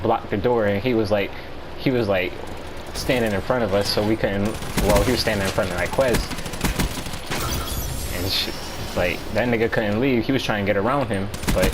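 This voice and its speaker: An American man chatting casually as he tells a story, with slangy, profane and halting delivery. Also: on the low side, noisy background. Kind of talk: casual storytelling chat among friends.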